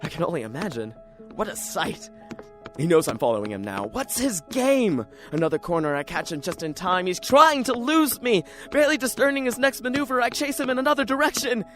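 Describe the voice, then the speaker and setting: A performer voicing an excitable, jittery library regular who tells the story in dramatic, self-questioning first person, as in a radio drama. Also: mid-range voice, brisk, soft background music. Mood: urgent, intrigued. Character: jittery, excitable library regular narrating his own strange chase.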